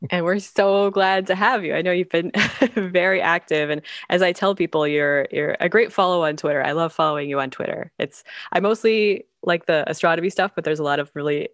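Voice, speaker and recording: A woman's voice: on the low side, a little fast, no room sound, studio quality. A woman interviewing a guest in a casual, conversational way.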